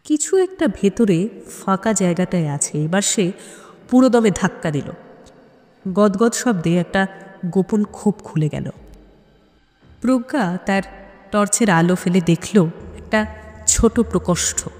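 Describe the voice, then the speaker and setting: A woman narrating a scripted suspense story dramatically, in measured phrases with pauses for tension. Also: medium pitch, animated, darkish tone. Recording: long hall-like reverb on the voice.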